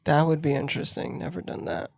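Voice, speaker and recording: A man's voice: a little high, level tone, fast talker, darkish tone, no echo, studio quality. A man with a Puerto Rican accent, a podcast co-host in casual chat who jokes and talks bluntly. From Puerto Rico. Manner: joking, playful and blunt.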